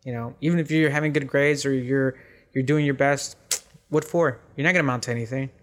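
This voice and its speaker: A man's voice: mid-range voice, steady tone, fairly quick, fairly bright tone. A middle-aged man from Mexico co-hosting a podcast, talking in a reflective way and repeating words as he thinks. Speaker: podcast co-host. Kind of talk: casual chat.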